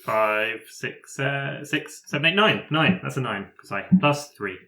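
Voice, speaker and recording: Guy player in a tabletop rpg, jokey, slow and halting, echoey room. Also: mid-range voice, expressive, sharp tone. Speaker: player in a roleplaying game. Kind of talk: improvised tabletop roleplay chat, describing character actions.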